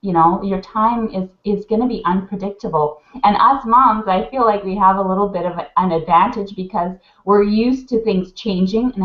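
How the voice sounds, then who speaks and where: A woman mentor, sniffly from crying, gushing and rambling with lots of fillers while giving casual advice on a live video call. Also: mid-range voice. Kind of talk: heartfelt casual advice chat. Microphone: webcam on a video call. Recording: roomy, dull webcam audio.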